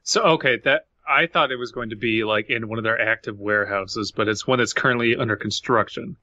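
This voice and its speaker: A man's voice: on the low side, fairly quick, sharp tone, no echo. A man co-hosting a podcast in casual, opinionated chat. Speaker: podcast co-host. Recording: dull lo-fi, dry.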